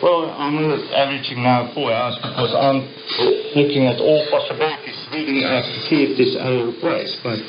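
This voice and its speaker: A man's voice: medium pitch, steady tone, normal speed. A man with a South African accent speaking off the cuff as a worker in a news interview. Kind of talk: spontaneous interview talk. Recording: muffled shortwave radio, clear static.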